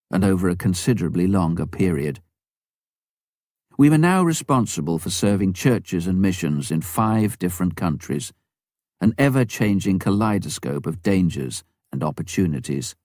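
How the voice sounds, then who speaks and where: Low-voiced man reading a memoir. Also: darkish tone, no room sound, studio quality. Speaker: audiobook narrator. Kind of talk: scripted narration of a memoir.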